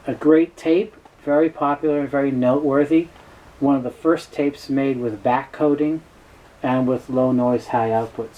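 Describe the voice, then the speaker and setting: A middle-aged man gives an informal, unscripted explanation as a presenter, pausing and correcting himself often. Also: fairly high pitch, darkish tone, rough recording. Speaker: presenter, tape specialist. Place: small cluttered indoor office. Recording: noticeable background noise, room ambience.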